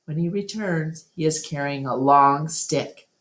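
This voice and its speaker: A woman reading a children's novel aloud as storyteller, in deliberate, expressive scripted narration. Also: deep voice.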